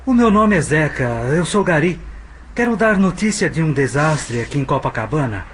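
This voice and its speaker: An actor playing a plain-spoken working-class Brazilian street sweeper with bad news in an audio drama, heard over a telephone. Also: male voice, on the high side, animated, moderate pace, a little hiss or hum. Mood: urgent, plain.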